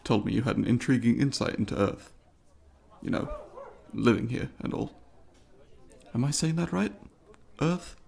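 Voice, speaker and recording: A man's voice: mid-range voice, lively intonation, moderate pace, creaky, a little mellow. A man voicing a polite, eager alien student in an ASMR roleplay, speaking formally and precisely to the listener in an inquisitive, apologetic way. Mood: polite, curious.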